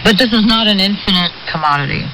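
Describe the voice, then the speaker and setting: A woman's voice: medium pitch, fast talker. A woman hosting a radio news programme, presenting in a scripted broadcast style over shortwave. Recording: noisy shortwave radio reception. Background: radio static.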